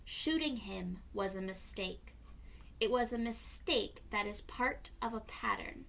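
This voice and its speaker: Woman reading as patient storybook mother, very slow. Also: medium pitch, creaky, fairly bright tone. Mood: patient, explanatory. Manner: explanatory, instructive. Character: patient mother explaining hard things to her child. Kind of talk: children's storybook read-aloud.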